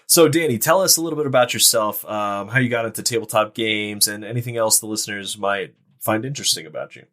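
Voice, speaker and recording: A man's voice: fairly low pitch, quick pace, fairly bright tone. A man co-hosting a podcast with casual, chatty delivery. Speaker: podcast host.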